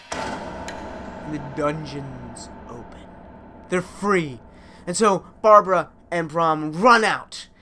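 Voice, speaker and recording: A man improvising a dramatic adventure story as a theatrical storyteller, swinging from hushed to loud. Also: high-pitched.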